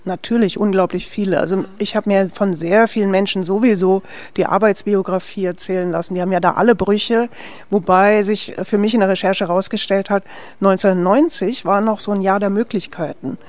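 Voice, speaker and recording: A woman from West Berlin talks through her work as a film director in a relaxed interview. Her speech is chatty and full of restarts. She keeps tagging a quick "ne?" onto her sentences. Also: medium pitch, no echo. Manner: lively, tags sentences with "ne?", frequent restarts. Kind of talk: conversational interview answers.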